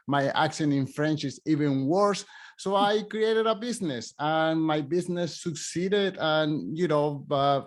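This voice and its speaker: Middle-aged male podcast host, Spanish accent, slightly higher voice, halting and self-interrupting. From Spanish-speaking country. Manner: halting, many restarts and fillers, laughs easily. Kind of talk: casual interview chat.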